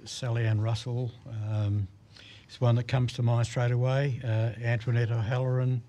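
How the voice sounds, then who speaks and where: A man's voice: medium pitch, little pitch movement, moderate pace, warm tone. A man speaking for a choir as a radio guest, hesitant and full of ums and restarts. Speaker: choir spokesman.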